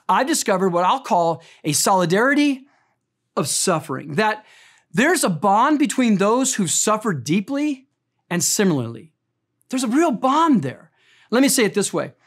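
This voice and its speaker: A man in his fifties preaching a sermon, telling a personal story in an earnest way and slowing down for emphasis. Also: high-pitched. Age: 50s. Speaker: pastor.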